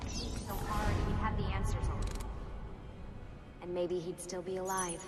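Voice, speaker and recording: A woman's voice: medium pitch, fairly even intonation, normal speed, natural voice. An actress playing a worried, weary young woman leading a secret team in a video game, speaking earnestly and with emotional strain. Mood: troubled, reflective. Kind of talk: naturalistic video game acting. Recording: clearly noisy ambient background.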